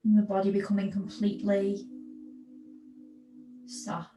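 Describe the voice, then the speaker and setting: A woman yoga teacher giving guided relaxation instructions in a soothing, unhurried manner with long pauses between phrases. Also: mid-range voice, steady tone, mellow. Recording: echoey room with background noise.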